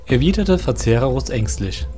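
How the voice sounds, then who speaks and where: Mid-pitched male story narrator. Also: sing-song, normal speed, music in the background. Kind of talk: scripted fantasy story narration.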